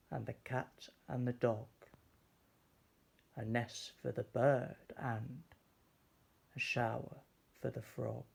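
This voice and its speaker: A man reading a children's story aloud as storyteller, deliberately and with dramatic pauses. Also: mid-range voice, creaky, husky.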